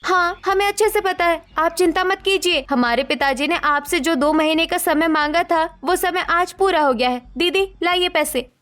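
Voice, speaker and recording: A woman's voice: very high voice, normal speed, hardly any pauses, fairly bright tone. A woman voicing an educated young village daughter-in-law in a cartoon story, firm and defiant. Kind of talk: Hindi moral-story cartoon voice acting.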